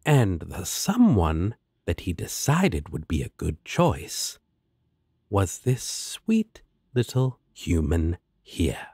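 A man acts out a smug male demon in an audio roleplay, sardonic yet protective. He drawls slowly and teasingly, the pitch swooping widely, with a husky edge to the voice. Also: wildly swooping pitch, darkish tone, no room sound, clean studio sound, full character voice. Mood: sardonic, explaining.

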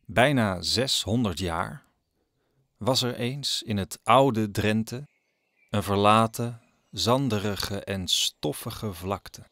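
A man narrating a scripted audio drama as a storyteller, solemn and deliberate. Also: lowish voice, a bit bright, dry recording, clean studio sound.

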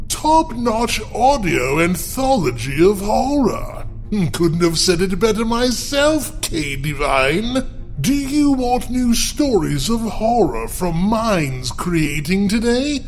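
A man's voice: high voice, very animated, faint music behind, heavily acted. An actor playing a gleefully creepy, theatrical horror librarian who teases listeners as he hosts a podcast. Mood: gleeful, teasing. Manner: theatrical, slow, cackling. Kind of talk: in-character horror podcast hosting.

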